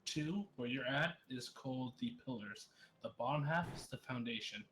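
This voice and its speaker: A man's voice: mid-range voice, moderate pace, a bit bright, low-quality recording. A man casually explaining things during a game stream.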